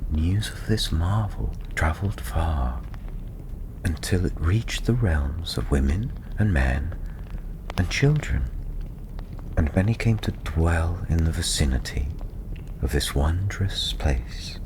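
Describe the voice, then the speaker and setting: A very low-voiced man tells a fantasy tale very slowly, in a soft, breathy near-whisper. His delivery is gentle and soothing, with long pauses between phrases. Clear ambient noise sits behind the voice. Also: animated. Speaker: storyteller. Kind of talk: soft-spoken ASMR fantasy storytelling.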